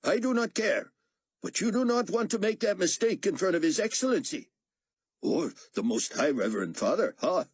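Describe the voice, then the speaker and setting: A man pitches up to play a brusque male security director who gives orders curtly. The husky voice sits high and lively, recorded close and dry. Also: normal speed, dry recording, heavily acted. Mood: curt, commanding.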